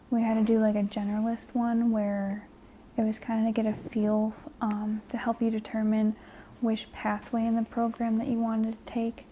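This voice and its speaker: A young woman in her twenties, a guest, talking in a soft half-whisper with many fillers. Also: a little high, a little hiss or hum, poor audio. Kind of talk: soft-spoken ASMR chat.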